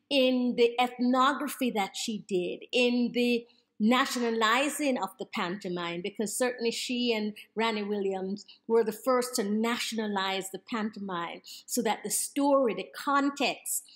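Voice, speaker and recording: Older Jamaican woman, measured and emphatic. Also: mid-range voice. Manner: measured, emphatic, with list-like repetition. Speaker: poet paying tribute. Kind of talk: prepared spoken tribute. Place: home study with bookshelves. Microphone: video call webcam.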